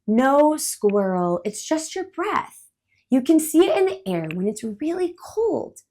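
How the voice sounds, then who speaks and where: Picture-book bird, as a woman puts it on: playful, patient, sing-song, high and lively. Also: slow pace, full character voice. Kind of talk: storybook read-aloud voice.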